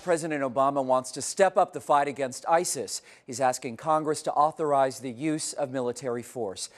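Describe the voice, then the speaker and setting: A man with an American accent reading scripted news as an anchor. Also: a little high.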